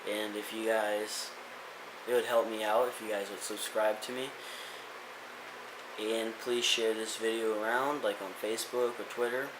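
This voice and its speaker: A man's voice: lowish voice, flat delivery, a little slow, noisy background. Earnest young man in an echoey room. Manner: earnest, rambling, many restarts and fillers. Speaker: vlogger. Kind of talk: casual motivational rant.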